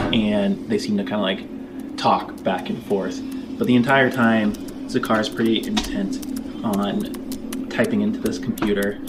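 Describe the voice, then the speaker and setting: A man narrating a tabletop game as game master, describing scenes in halting, improvised phrases. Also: fairly low pitch, background noise. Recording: background music under the voice. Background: music.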